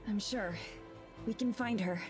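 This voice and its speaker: A woman voicing an earnest, steady young woman with a psychic sense in a visual novel game. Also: medium pitch, unhurried, natural voice. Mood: earnest, certain. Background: game music.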